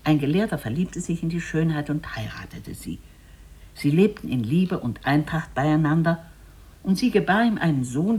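Fairy-tale narrator: rough-edged voice, deliberate dramatic reading, very lively intonation. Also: medium pitch. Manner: deliberate, expressive. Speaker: storyteller.

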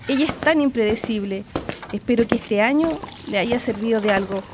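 A woman's voice: fairly high pitch, moderate pace, lightly acted. A woman playing an anxious, bossy but affectionate Chilean mother in a radio drama. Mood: brisk, worried. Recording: noisy, clattering dishes and clicks.

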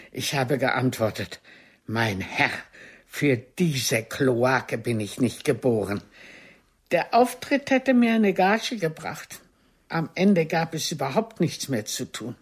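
A proud aging theatre diva in a radio play, speaking slowly and deliberately in a husky voice. Her pitch sweeps widely as she delivers each haughty, cutting phrase. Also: heavily acted. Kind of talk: German radio drama acting.

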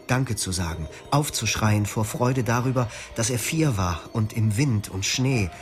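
A man narrating his memories in the first person, reflective and quiet. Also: fairly low pitch, lively intonation, a little slow. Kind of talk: scripted memoir narration. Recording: music behind.

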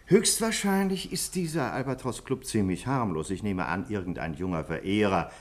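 A senior police chief with a deep, slightly rough voice. His intonation moves widely as he talks with courteous authority, in the manner of a 1950s radio play. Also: lively intonation, moderate pace. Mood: musing, assured. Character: senior London police chief, courteous, self-assured gentleman.

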